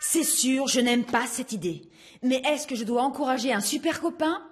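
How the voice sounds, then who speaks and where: A woman's voice: high voice, moderate pace, music in the background, natural voice. A woman playing a tactful young female cat in a French-dubbed children's cartoon, with hesitant, questioning phrasing. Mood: awkwardly tactful.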